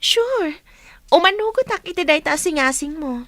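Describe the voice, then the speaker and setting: A woman's voice: very high voice, animated, moderate pace, a bit bright, no echo. A woman playing a friendly, encouraging young female student in an Ilocano radio drama. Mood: friendly, eager.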